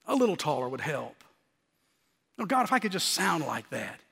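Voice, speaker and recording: A middle-aged man preaching a sermon in a nasally, scratchy voice, animated and building to emphatic peaks. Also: a little high, moderate pace, vocal fry, husky, long reverb tail.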